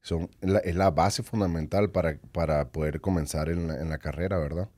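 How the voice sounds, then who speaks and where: A man hosting an interview, asking questions in a halting way and often restarting his sentences. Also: low voice, fairly even intonation, mellow, no echo. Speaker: podcast host.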